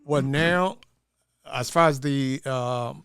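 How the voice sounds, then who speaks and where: A man working as an insurance agent, giving casual, somewhat halting and agreeable answers as an interview guest. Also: medium pitch, fairly bright tone, smooth, no echo, studio quality. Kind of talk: casual conversational anecdote.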